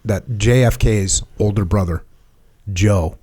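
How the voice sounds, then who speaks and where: Casting note: male podcast host, middle-aged, low voice, blunt and direct, conversational storyteller. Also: takes it slowly, mellow. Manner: blunt, probing questions and plain storytelling. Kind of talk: casual conversational interview.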